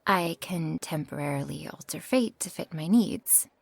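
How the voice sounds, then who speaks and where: A woman playing a flustered, earnest young witch who reads tarot, in an ASMR roleplay with a halting manner. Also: low-pitched, darkish tone, no room sound. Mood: earnest explaining. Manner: halting, breathy asides, nervous little laughs and sighs. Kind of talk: ASMR roleplay acting, addressed to the listener.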